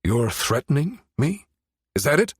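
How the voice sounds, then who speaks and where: Audiobook role: older scientist, male, played by a male narrator, blustering, stern, demanding, quick to take offence. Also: fairly high pitch, sing-song, slightly rough, dry recording, clean studio sound, pitched above the performer's own voice, full character voice. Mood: angry.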